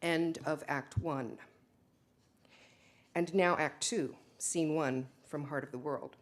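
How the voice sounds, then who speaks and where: A woman giving a dramatic reading of a play, narrating the stage directions in a measured, deliberate way. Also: low-pitched, steady tone. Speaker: reader of a play.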